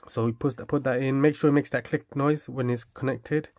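A man casually demonstrating and reviewing a product, speaking haltingly as he lists features and repeats himself. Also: medium pitch, steady tone, darkish tone, dry recording.

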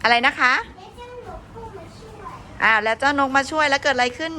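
A woman's voice: high-pitched, moderate pace, pushed and bright. A woman kindergarten teacher prompting young children with simple, coaxing questions as they perform a story. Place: noisy room at a kindergarten. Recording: noisy room with children around.

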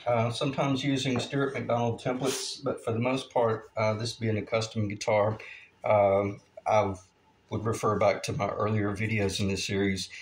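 A man in his fifties giving a relaxed, rambling guitar-building tutorial at his workshop bench, with many "uh" fillers. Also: fairly low pitch, normal speed, slight background noise, poor audio. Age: 50s.

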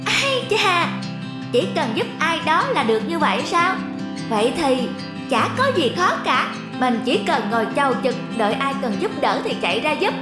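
A woman's voice: high voice, very animated, normal speed, roomy sound. A woman voicing an envious, overeager, scheming young pet dog in a cartoon, talking childishly. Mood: scheming, gleeful. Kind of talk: cartoon dubbing. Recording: music behind the voice.

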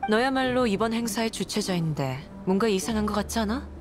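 A woman's voice: mid-range voice, lively intonation, fairly quick, music in the background, natural voice. A woman voicing an aloof, cynical nun in a game, speaking in a dry, blunt manner. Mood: cold, dismissive. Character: aloof, cynical adult nun, coolly suspicious. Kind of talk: game voice acting.

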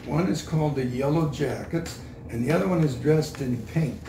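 An older man with a Canadian accent answering interview questions in a halting, deliberate way, often repeating himself. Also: fairly high pitch. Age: older, 60s or above. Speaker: street preacher being interviewed. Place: indoor room with a couch. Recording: roomy, distant mic, noisy.

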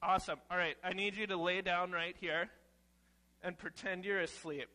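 A young man giving a playful, casual children's sermon in an animated, informal way, exclaiming as he goes. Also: high voice, moderate pace, low-quality recording. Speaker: children's message leader at church. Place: church sanctuary, seated on chancel steps among children.

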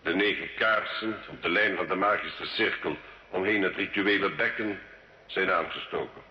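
Man playing a low-voiced cunning sorcerer, echoey. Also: natural voice. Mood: solemn, commanding. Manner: solemn, measured, theatrical. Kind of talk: old Dutch radio drama acting. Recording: dull, echoey, hissy old radio recording.

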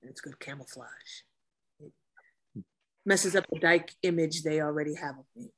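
A woman acting a guarded, masculine-presenting Navy officer in a table read of a drama, speaking in a dry, measured way. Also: sits low, fairly bright tone, poor audio. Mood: wry.

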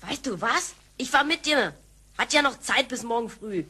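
A woman's voice: on the high side, expressive, normal speed, noisy background, close to an everyday voice. A woman playing a cheeky, impatient granddaughter in a sci-fi radio drama, with a blunt, slangy manner. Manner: brash, blunt, exclamatory.

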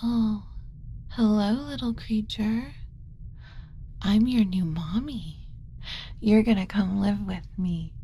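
Lady playing a kind flustered alien mommy, asmr style, mellow slow cooing, half whispered, some background ambience. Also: mid-range voice, a little hiss or hum. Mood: tender, cooing. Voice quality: soft, often dropping to a whisper. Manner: gentle, cooing, hesitant muttering to herself. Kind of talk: soft-spoken ASMR roleplay.

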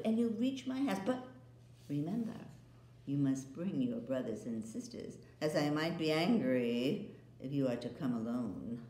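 Sly coaxing queen, as a woman reads her. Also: low-pitched. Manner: coaxing, drawn-out, with soft laughs. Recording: roomy echo.

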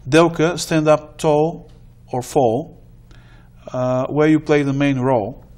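A middle-aged man hosting a studio interview, asking slow, deliberate questions with many hesitant pauses. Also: on the high side, fairly even intonation, darkish tone. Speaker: talk show host. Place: TV studio with blue curtain.

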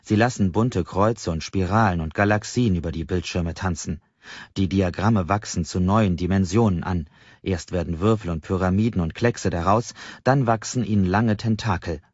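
A man narrating a novel as an audiobook, in an expressive storytelling style. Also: low voice, moderate pace, husky, dry recording, dull audio. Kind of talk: expressive literary narration, present tense.

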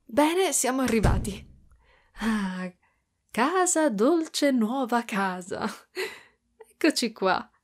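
Woman acting a slow, tender motherly spider-woman. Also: a little high, animated. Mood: joyful, affectionate. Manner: soft, cooing, tender, sometimes whispering. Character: gentle, doting motherly spider-woman caretaker at an orphanage. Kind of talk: ASMR roleplay.

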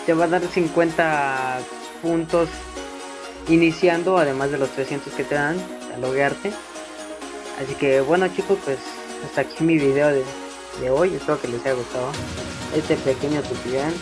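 A young man giving a casual, hesitant, improvised phone app tutorial in an informal way. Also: on the high side. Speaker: tutorial video maker. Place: voice-over to a phone screen recording. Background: prominent background music.

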